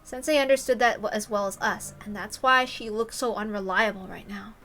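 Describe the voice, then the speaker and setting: A woman's voice: mid-range voice, fairly quick, a bit bright, soft background music. A woman reading a story aloud expressively, with casual commentary of her own. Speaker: reader and commentator.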